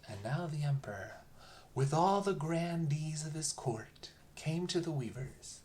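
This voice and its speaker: A storyteller narrating a bedtime fairy tale in a calm, soothing, lilting manner. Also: mid-range voice, a little slow.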